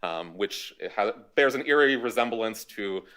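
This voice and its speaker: A man speaking as a history panelist at a university event, giving a measured academic talk with a dry, wry touch. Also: lowish voice, expressive, fairly bright tone.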